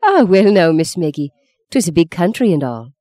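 Woman voicing a doting old cook, coaxing lilt, higher than her own voice. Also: big pitch swings, moderate pace, warm tone, no room sound, studio quality.

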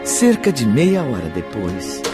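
An actor playing a solemn storyteller, narrating a radio drama in a deliberate, scripted manner. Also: male voice, mid-range voice, loud music behind the voice. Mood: solemn narration. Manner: deliberate, expressive. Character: solemn male storyteller of a spiritual tale.

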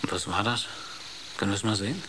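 A man's voice: on the low side, animated, brisk, natural voice. A man playing a cautious, concerned spaceship crew member in a naturalistic radio drama, restrained and probing. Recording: noisy radio drama recording.

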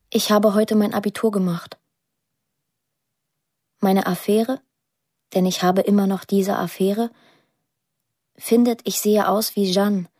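A young woman tells her story introspectively in a radio play. Her voice is a little husky and very close and dry. She speaks plainly and thoughtfully, in a measured way. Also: mid-range voice, warm tone, no room sound. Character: pensive young woman narrating her estranged family. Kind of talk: introspective radio drama narration.

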